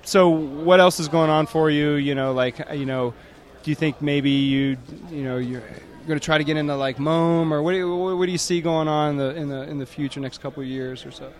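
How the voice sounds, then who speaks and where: Male host, slightly high voice, teasing and rambling interviewer, recorded outside. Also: fairly even intonation, a little fast. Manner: teasing, rambling, many fillers. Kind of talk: casual interview.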